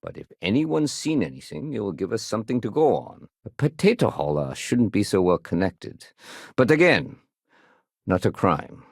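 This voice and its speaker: Husky, low-voiced dutiful security sergeant. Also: male voice, big pitch swings, normal speed, no echo, studio quality, exaggerated voice. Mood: calm, businesslike. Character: male security sergeant, dutiful, measured, fair-minded. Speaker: game voice actor. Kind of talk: video game dialogue acting.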